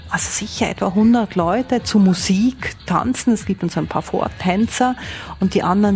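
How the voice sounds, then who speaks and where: A woman's voice: medium pitch, vocal fry, a little mellow. A woman with a Swiss accent, a foreign correspondent giving vivid, explanatory answers in an interview and rephrasing as she goes. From Switzerland. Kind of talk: interview answers, descriptive reporting.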